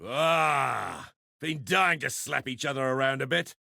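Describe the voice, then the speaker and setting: An actor playing a brash, gleefully violent male pirate brawler in a video game, taunting with a gravelly, forceful swagger. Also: medium pitch, lively intonation, measured pace, no room sound, studio quality, full character voice. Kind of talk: video game combat barks.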